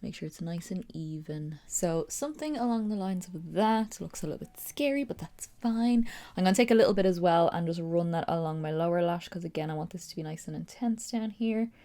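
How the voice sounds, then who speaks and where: A woman's voice: lowish voice, fairly quick, dark tone. A young woman with a stuffy, nasal voice from a cold, chatting casually through a makeup tutorial. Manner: chatty, rambling, upbeat. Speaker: makeup vlogger.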